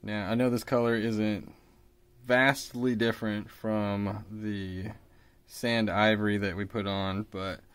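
Guy in his 30s, bit hoarse from a cold, lowish voice, easygoing painting walkthrough at his hobby bench. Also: slow. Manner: relaxed, hesitant with fillers, explains while working. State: slightly raspy from a cold. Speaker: miniature painting instructor. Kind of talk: casual painting tutorial. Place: hobby shop workbench indoors.

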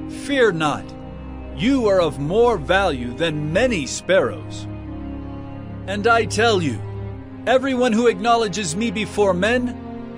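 An actor playing an earnest teacher preaching to his followers in a dramatized scripture reading, with solemn, emphatic, measured oratory. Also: high voice. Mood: solemn warning.

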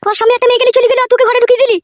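Betrayed village wife, comedy cartoon. Squeaky, breakneck nagging and cursing, rural West Bengal accent, faint noise behind. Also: female voice, flat delivery, racing. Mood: furious. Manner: nagging, cursing, wailing rants. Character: furious betrayed village wife, shrill and scolding. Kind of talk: comedy cartoon dub, melodramatic quarrelling.

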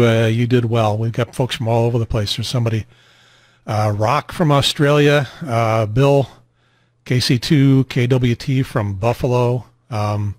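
An American man in his sixties hosting a radio net in casual chat, rambling and halting, on a close mic in a small home room. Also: mid-range voice, no echo. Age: 60s. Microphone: close boom studio mic, headset on.

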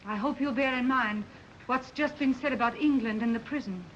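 A devoted, sociable colonial Virginia wife, played by an actress speaks in a high, expressive voice. The delivery is theatrical 1930s film acting on a dull, noisy soundtrack. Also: female voice, moderate pace, lightly acted. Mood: worried.

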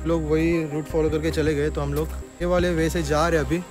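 A young man vlogs on a forest trail in a slightly raised voice. He chats straight to his viewers, filmed on a phone held while walking. Music plays clearly beneath him. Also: a little high, level tone, fairly quick. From Maharashtra, India. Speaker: travel vlogger. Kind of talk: casual vlog narration to camera. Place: outdoor forest trail near a waterfall. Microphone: phone camera held while walking. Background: background music.

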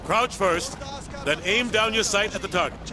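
Man acting a high-pitched brash American army sergeant. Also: moderate pace, heavy background noise, close to an everyday voice. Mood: commanding, blunt. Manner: blunt, commanding, projecting to a group. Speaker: drill instructor. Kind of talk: military game tutorial dialogue.

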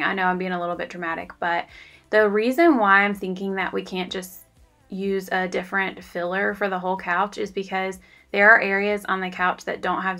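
A woman's voice: mid-range voice, gravelly, sharp tone. Chatty woman confiding in viewers. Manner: chatty, confiding, self-deprecating. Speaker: cleaning vlogger. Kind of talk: casual voice-over narration.

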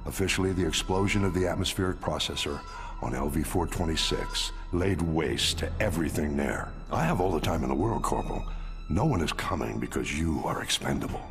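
A middle-aged corporate villain from a video game, with an extremely low voice. He speaks with measured, condescending menace and wide, expressive swings of pitch. Music plays clearly behind him. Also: full character voice. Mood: icy menace. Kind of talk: cinematic game cutscene acting.

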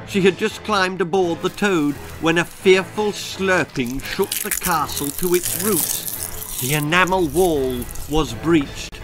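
Slow, dramatic older storyteller. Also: male voice, fairly high pitch, fairly bright tone. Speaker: author reading his own stories. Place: small book-lined room at home.

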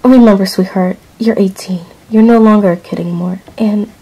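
A woman voicing a gentle, worried mother who keeps asking questions in a soft, coaxing way, in a toy-figure drama. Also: mid-range voice, steady tone, normal speed, husky, voice pushed down, low-quality recording. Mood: concerned.

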